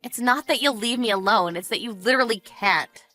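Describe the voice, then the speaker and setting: Lady acting fed up wife, sarcastic and smug, sharp bright voice, family drama story. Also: fairly high pitch, a little fast, soft background music. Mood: smug, mocking. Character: fed-up, sarcastic young wife taunting her in-law. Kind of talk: acted dialogue in narrated family drama.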